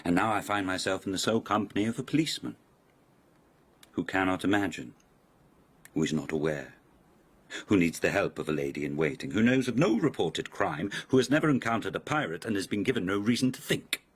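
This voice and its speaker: Haughty courtier, deep husky voice, formal, mocking, radio drama. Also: male voice, animated. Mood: scornful. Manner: mocking, formal, drawn-out insinuation. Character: haughty, sardonic palace lord of old Japan.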